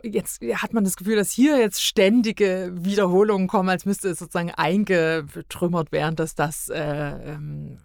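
A woman's voice: on the low side, steady tone, moderate pace, gravelly, hardly any pauses, a little mellow, no room sound, clean studio sound. A middle-aged woman talking casually as a podcast guest, often hesitating and searching for words. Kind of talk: casual conversational discussion.

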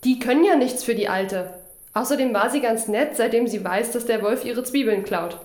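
Radio play role: guarded, matter-of-fact woman night worker played by an actress, evasive, slightly high voice, roomy recording. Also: normal speed, darkish tone, lightly acted. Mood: subdued. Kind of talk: radio crime play acting.

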